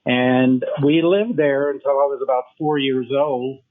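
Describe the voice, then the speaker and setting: Older guy doctor, calm formal answers, lots of uh's, sounds like a phone call. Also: medium pitch. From Memphis, Tennessee. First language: French. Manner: measured, formal, with frequent uh fillers. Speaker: guest physician. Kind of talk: podcast interview. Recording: tinny phone line.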